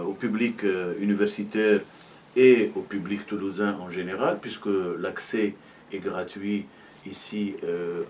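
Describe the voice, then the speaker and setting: A middle-aged man from Morocco speaks in a lowish voice, explaining things patiently and often pausing to hesitate. He sounds a little distant from the microphone, and a faint ambient hiss runs underneath him. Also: a little mellow. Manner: measured, explanatory, many hesitations. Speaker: university arts and culture officer. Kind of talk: interview answers.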